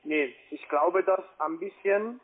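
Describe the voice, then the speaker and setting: High-pitched foreign-accented man on phone. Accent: foreign accent in German. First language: not German. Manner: halting, repetitive, badgering, broken grammar. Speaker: cold caller from a call centre. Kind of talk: argumentative phone conversation. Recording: narrow, tinny phone line.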